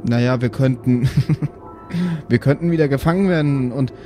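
A man's voice: medium pitch, dark tone, faint music behind, natural voice. Man playing a tired, hesitant, cautious father. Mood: cautious, worried. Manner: halting, hedging, stammering. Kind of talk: improvised audio drama role-play.

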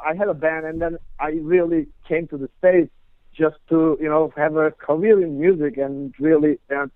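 A man's voice: fairly high pitch, no room sound. A man, a guest guitarist chatting in an interview, rambling with lots of "you know" and "like". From Turkey. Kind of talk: casual interview chat. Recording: dry tinny phone line.

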